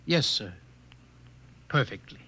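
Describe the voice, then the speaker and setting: A man's voice: slow, bright, forceful tone. A man playing a bitter, defiant young outcast in 1950s radio drama, articulate, sardonic and impassioned. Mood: submissive. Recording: old 1950 radio broadcast recording.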